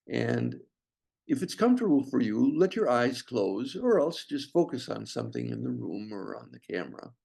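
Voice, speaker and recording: A man leading a guided meditation as a breathwork teacher, speaking gently in short phrases with long pauses and a gravelly, husky voice. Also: medium pitch. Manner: slow, pausing delivery in short phrases.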